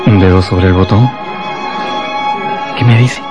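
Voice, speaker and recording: Low-voiced, incredulous male questioner. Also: a little slow. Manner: inquisitive, incredulous. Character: incredulous, probing male comrade questioning a fanatic. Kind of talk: radio drama acting. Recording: loud music behind the voice.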